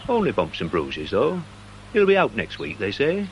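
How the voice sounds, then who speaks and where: An actor playing a pedantic, self-important, slightly dim British police sergeant in a radio drama, with a wordy, deliberate manner. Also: male voice, lowish voice, wildly swooping pitch, lo-fi sound, slight background noise. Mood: earnest, officious.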